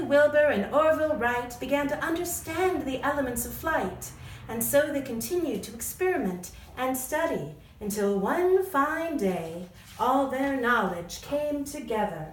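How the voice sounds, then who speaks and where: A woman's voice: high voice, a little hiss or hum. A woman reading scripted storytelling narration as the narrator of a radio play. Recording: roomy echo, distant mic, music behind.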